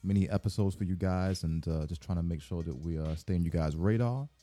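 A man's voice: sits low, brisk, mellow. A man co-hosting a podcast in casual, slangy chat, laid-back and playfully cocky. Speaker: podcast co-host. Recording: music under the voice.

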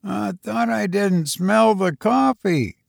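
A man's voice: high-pitched, takes it slowly, gravelly, no echo, studio quality, voice pushed up, heavily acted. A man voicing a helpless, childish, self-pitying woman in a storybook reading, with a plaintive, naive manner. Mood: innocent, surprised.

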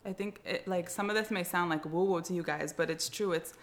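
A woman's voice: fairly low pitch, fairly quick. A woman in her thirties chatting casually and earnestly, affirming things and repeating phrases for emphasis. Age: 30s. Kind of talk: casual chat.

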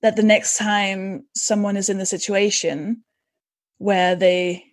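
A woman's voice: mid-range voice, fairly even intonation, slow, no room sound. Chatty woman host in a dry recording. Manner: chatty, trails off and restarts, laughs often. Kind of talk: casual conversation.

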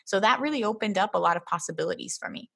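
A woman's voice: medium pitch, expressive, racing, vocal fry, sharp tone, slightly rough. A woman in her thirties who grew up in Philadelphia, a travel blogger chatting as a podcast guest and thinking aloud with fillers. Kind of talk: casual interview.

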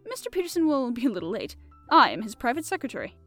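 Woman playing affable, mischievous gentleman adventurer. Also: fairly high pitch, sing-song, fast, faint music behind. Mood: affable, mock-innocent. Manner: affable, placid, mock-innocent politeness. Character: cool, mischievous gentleman adventurer posing as a secretary.

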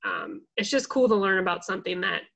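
A woman co-hosting a podcast in a relaxed, upbeat, casual chat. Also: medium pitch, a little fast, vocal fry. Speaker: podcast co-host.

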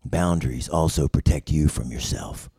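A man's voice: very deep voice, normal speed, dark tone, slightly rough, dry recording, clean studio sound, heavily acted. A man voicing a seedy, self-loathing drifter who poses as an office life coach, world-weary and confessional in noir comedy style. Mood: bleak brooding. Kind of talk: 1990s noir voiceover table read.

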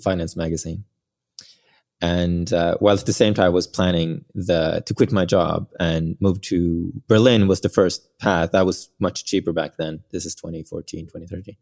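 A man from Norway with a Norwegian accent, chatting casually as an interview guest, halting as he thinks. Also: low-pitched, creaky, darkish tone. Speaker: podcast guest, startup founder.

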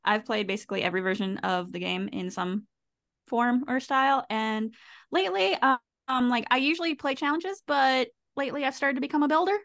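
A woman's voice: high voice, brisk, vocal fry, fairly bright tone. A woman guest on a casual podcast, easygoing and giggly. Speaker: podcast guest and co-host of another podcast. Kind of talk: casual chat.

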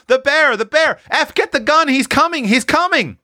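A man voicing an excitable, frightened young farm boy in a storybook, shouting in rustic country speech. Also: very high-pitched, normal speed, no echo, studio quality, voice pushed up, exaggerated voice. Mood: panicked.

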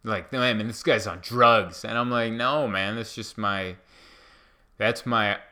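A man's voice: on the low side, measured pace, sharp tone. A man in his thirties, born in Hungary and speaking English as a second language, chatting casually with a slightly slurred, rambling diction and no foreign accent. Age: 30s. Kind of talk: casual chat.

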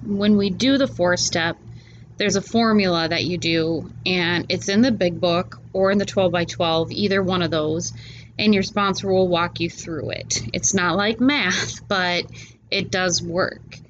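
A woman's voice: lowish voice, steady tone, measured pace, muffled recording, slight background noise. A woman hosting a solo podcast, talking in an earnest, chatty way with a stuffy nose from a cold. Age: adult parent. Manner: earnest, chatty, laughs at herself. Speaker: podcast host. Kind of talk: casual solo podcast talk.

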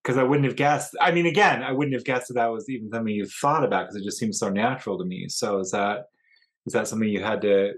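A man's voice: on the low side, quick pace. A man hosting a casual conversation, chatty and prone to rambling restarts. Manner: chatty, rambling, self-interrupting. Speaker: podcast host.

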